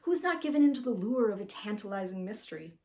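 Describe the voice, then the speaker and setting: A woman's voice: medium pitch, animated, fast, mellow, close to an everyday voice. A woman voicing a precocious, mannerly, bookish boy in a children's storybook, with formal and pompous phrasing. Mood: indignant.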